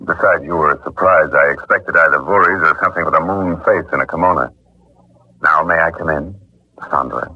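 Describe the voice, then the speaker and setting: An actor playing a hard-boiled, wisecracking private detective in 1940s radio style, sardonic and deadpan. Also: male voice, rumbling low, fairly quick, husky. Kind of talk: 1940s radio acting, noir first-person narration. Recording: muffled vintage 1940s radio recording, music behind.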